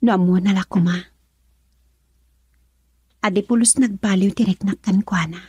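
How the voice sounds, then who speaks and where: An actress playing a young woman in love in a radio drama, voicing her thoughts in a breathy half-whisper. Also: mid-range voice, fairly even intonation, normal speed, a little mellow, dry recording. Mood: wistful.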